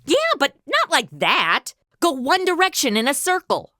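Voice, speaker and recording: A woman's voice: high voice, big pitch swings, normal speed, sharp tone, no echo, clean studio sound, exaggerated voice. A woman playing a brash, flippant young American in a comedy audio drama, casual and sarcastic. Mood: breezy.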